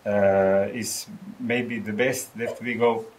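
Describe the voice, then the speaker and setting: A man with a Slovenian accent, an attendee who speaks halting, broken English with many restarts. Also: on the low side, fairly even intonation.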